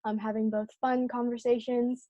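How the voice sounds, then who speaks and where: Teenage girl, mellow and high. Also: level tone, normal speed, no room sound, dull audio. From United States. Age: teenager, about 15. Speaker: student panelist. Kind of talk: casual spoken testimonial. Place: online conference call, close dry sound.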